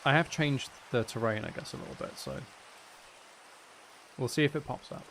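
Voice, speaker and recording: A man's voice: mid-range voice, animated, normal speed, gravelly, fairly bright tone, slight background noise. Rambling man on a casual gaming stream. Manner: mildly sweary. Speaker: game streamer and audio creator.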